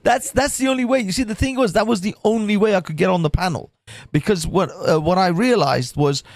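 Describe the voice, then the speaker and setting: Man, croaky, gravelly, rambling livestream host, dead dry sound. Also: high voice, brisk, no echo. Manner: swearing, rambling with many restarts. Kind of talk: casual livestream chat.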